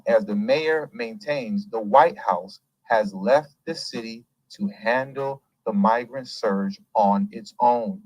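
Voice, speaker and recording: A man's voice: lowish voice, slow pace, hardly any pauses, a little mellow, no echo, rough recording. A man hosting a news commentary show, reading articles aloud and commenting with asides and chuckles. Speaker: host.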